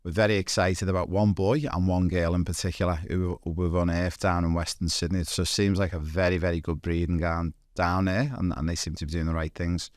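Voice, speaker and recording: Podcast host, man, lower voice, dry close sound, chatty and excited questions. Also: brisk, few pauses, no room sound. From Europe. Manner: enthusiastic, chatty. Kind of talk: podcast interview.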